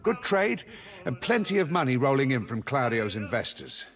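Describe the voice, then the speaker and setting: A man's voice: medium pitch, sing-song, normal speed, natural voice. A man playing a bluff tavern keeper. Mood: friendly. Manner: bluff, chatty and hospitable. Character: proud middle-aged male tavern keeper, former sailor. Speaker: innkeeper. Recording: game soundtrack under the voice. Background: music.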